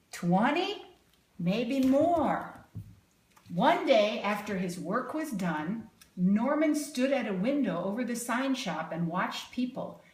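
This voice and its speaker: A woman reading a children's picture book aloud expressively, pausing to put questions to young listeners with exaggerated emphasis. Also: mid-range voice, a little mellow. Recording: roomy, echoing sound.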